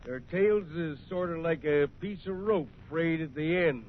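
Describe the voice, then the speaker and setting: An actor playing a jovial old American frontier ranger, telling yarns in a rustic, folksy manner in an old radio western. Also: male voice, a little slow, natural voice. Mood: folksy, amused. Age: older. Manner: rustic, folksy, chuckling drawl. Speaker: storyteller. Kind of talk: 1930s radio western storytelling. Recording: tinny, noisy old radio recording with rumble.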